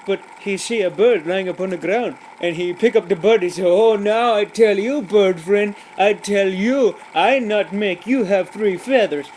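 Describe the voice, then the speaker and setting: A man's voice: sits high, measured pace, few pauses, darkish tone, a little hiss or hum, low-quality recording. A man voicing a cheerful, rambling joke-teller, broken grammar and giggly asides. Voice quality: broken grammar, many fillers and restarts, giggly. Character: rambling, cheerful adult man telling pointless jokes. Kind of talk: comic character monologue.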